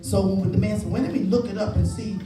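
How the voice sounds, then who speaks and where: A woman's voice: mid-range voice, fairly even intonation, quick pace, warm tone. A woman preaching in a conversational storytelling way, giving testimony and urging her listeners to respond. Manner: conversational storytelling with exhortations and repeated appeals to listeners. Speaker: church preacher. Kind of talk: testimonial sermon with call and response. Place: large indoor church space. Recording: echoey church hall, distant, music behind.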